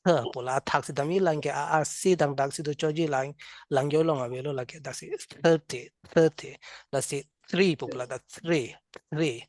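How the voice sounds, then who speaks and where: A man with a Karbi accent teaching an English class, drilling words and sounds slowly and having students repeat. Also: on the high side, steady tone, darkish tone, no room sound, clean studio sound. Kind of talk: classroom lesson, mixing local language and English.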